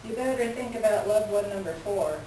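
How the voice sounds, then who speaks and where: A woman's voice: mid-range voice, a little fast, mellow, natural voice. A woman playing a blunt, sardonic, exasperated assistant in a staged readers' theater performance. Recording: echoey room, distant mic, noisy background.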